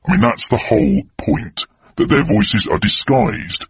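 A man's voice: medium pitch, big pitch swings, measured pace, gravelly, highs cut off, noisy background. An actor playing a defensive, exasperated man in a mock documentary, whose odd voice sounds disguised. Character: aggrieved anonymous-sounding man, defensive and exasperated about his voice.

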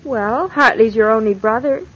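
Eager young wife, high-voiced, played by an actress. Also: female voice, moderate pace, lightly acted. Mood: earnest, anxious. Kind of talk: 1940s radio acting. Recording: dull lo-fi old radio recording.

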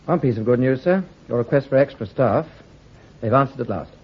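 An actor playing an efficient, deferential army captain who says sir a lot. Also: male voice, mid-range voice, a little fast, breathy edge. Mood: dutiful. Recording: dull lo-fi radio recording.

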